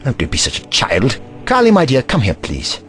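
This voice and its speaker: A man's voice: medium pitch, wildly swooping pitch, normal speed, a bit bright, soft background music. A man voicing a haughty, eccentric time-traveling scientist in an audio drama, pompous and lecturing.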